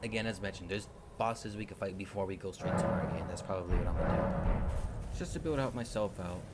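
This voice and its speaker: A man's voice: sits low, quick pace, over loud music. A man hosting a gaming video, rambling casually with many fillers and restarts as he comments on his play. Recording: loud game sounds and hoofbeats behind.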